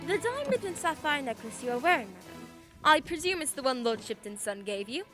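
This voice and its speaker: A woman's voice: high voice, sing-song, fairly quick, fairly bright tone, faint music behind. A woman playing a composed, businesslike female detective in a radio play, speaking formally and politely. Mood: insistent.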